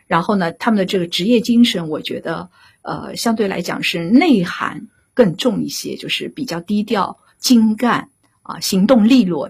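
A woman speaking as a guest director, explaining her thinking in a measured, deliberate way and repeating key points. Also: mid-range voice, slightly rough. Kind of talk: explanatory discussion.

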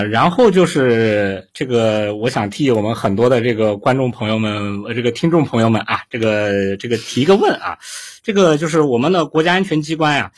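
Husky man co-hosting a live interview. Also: medium pitch. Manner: halting, repetitive phrasing. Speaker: co-host interviewing guests. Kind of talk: live broadcast interview chat.

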